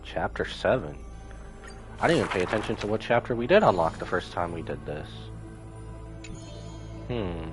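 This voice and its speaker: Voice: low voice, lively intonation, normal speed, long pauses. A game streamer reacting live to a story, commenting casually and breaking into dramatic exclamations. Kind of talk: live gameplay reaction and commentary, reading some dialogue aloud. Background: video game music.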